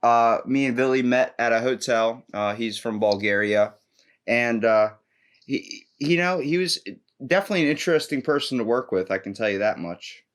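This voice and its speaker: A man with a mid-range voice hosts a podcast in a loose, casual style. He jokes around and rambles, and his delivery stays animated as he chats with his guest. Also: mid-range voice, normal speed. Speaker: podcast host. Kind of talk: casual interview chat.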